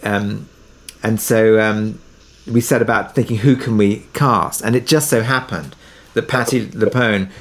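A man's voice: fairly low pitch, unhurried, hardly any pauses. A man talking casually as an interview guest, telling production anecdotes in a conversational way and thinking aloud as he goes.

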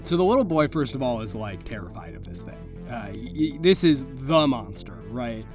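A man of medium pitch runs a tabletop game as game master. He describes the action in a casual, improvised, vivid way, with music clearly audible underneath. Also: sing-song, normal speed.

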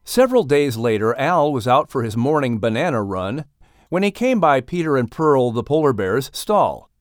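A man's voice: medium pitch, big pitch swings, moderate pace, no room sound, studio quality, soft background music. A man narrating a scripted children's audiobook as the storyteller. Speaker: narrator and announcer.

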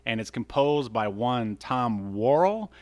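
A man with a mid-pitched voice co-hosts a podcast. He talks in a loose, conversational way, recapping and joking as he goes. His manner is playful and teasing. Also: expressive, takes it slowly, a little mellow. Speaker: podcast co-host. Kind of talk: casual recap and banter.

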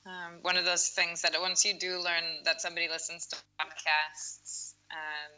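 South african woman in the wool trade, low pitch, sharp bright sound, restarts a lot. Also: completely flat delivery, normal speed, low-quality recording. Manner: rambling, restarts phrases. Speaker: interviewer from the wool industry. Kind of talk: casual interview chat.

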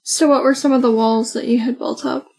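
A soft-voiced woman co-hosting a podcast, talking casually and often saying "like". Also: high-pitched, fairly even intonation, a little fast, creaky, slightly rough, soft background music. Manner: chatty, many "like" fillers. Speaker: podcast co-host. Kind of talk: casual conversation.

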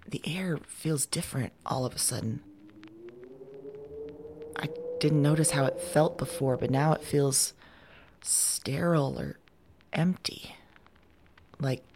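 A woman performing a lonely, frightened woman in an audio drama, speaking haltingly and pleading. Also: extremely low pitch, a little mellow, slight background noise, heavily acted. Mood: uneasy, bereft. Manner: halting, hesitant, trailing off. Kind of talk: solo audio drama monologue.

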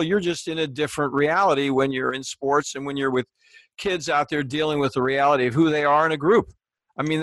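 A man's voice: on the high side, fairly bright tone, no room sound. A man hosting a podcast interview, talking casually with lots of restarts and asides, then closing the show. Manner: rambling, with frequent restarts. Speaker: podcast host. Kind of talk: casual interview chat, then scripted outro.

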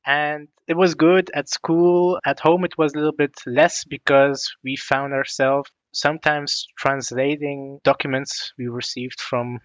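A young man from Belgium whose first languages were French and Arabic, telling his own story in a measured, reflective way. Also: mid-range voice, fairly bright tone, no room sound, highs cut off. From Belgium (West Flanders). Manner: measured, reflective, with small restarts. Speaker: guest sharing his story. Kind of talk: personal storytelling.

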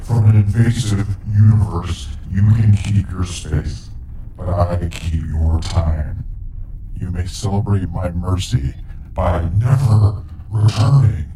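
A man's voice: low-pitched, fairly even intonation, slow pace, warm tone. A man playing a menacing, imperious alien being in a sci-fi audio drama, with a solemn, threatening delivery. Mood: scornful, commanding. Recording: echoing voice, faint noise.

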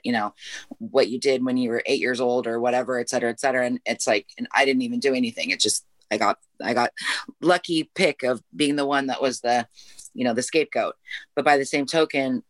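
A man's voice: medium pitch, a bit bright, dry recording. A man reflecting at length in a podcast discussion, wordy and conversational, piling up repetitions and asides. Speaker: podcast participant.

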